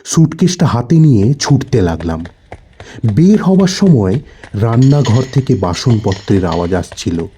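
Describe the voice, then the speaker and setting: Slow, dark-voiced man telling horror stories. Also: mid-range voice, very animated, slight background noise. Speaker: storyteller reading a horror story. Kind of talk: dramatic scripted audio-story narration. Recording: voice over background music. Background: background music.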